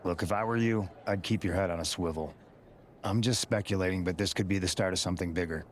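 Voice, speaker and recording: A wary, concerned wrestler colleague, acted by a man, speaks low and quickly. He delivers game cut-scene dialogue like a friendly warning. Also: close to an everyday voice.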